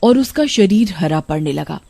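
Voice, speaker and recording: Mellow woman narrating a cartoon story. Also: lowish voice, lively intonation, moderate pace, creaky, no echo.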